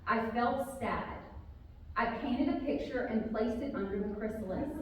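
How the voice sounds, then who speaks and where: Woman, children's author, read-aloud delivery, slightly high pitch, expressive emphasis, heavy room echo, live gathering. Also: unhurried. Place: large reverberant room, live community gathering. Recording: very echoey hall, distant, faint noise.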